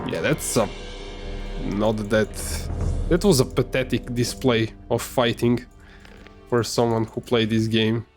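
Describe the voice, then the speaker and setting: A man whose first language is Serbian, giving casual, halting commentary while playing a game, with many fillers and restarts. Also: mid-range voice, music in the background. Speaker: gamer.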